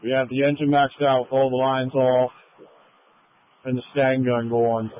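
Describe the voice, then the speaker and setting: A man's voice, very flat in pitch, comes over a tinny two-way radio. He commands a fire scene, reporting and directing in matter-of-fact emergency radio language. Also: unhurried. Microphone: radio handset.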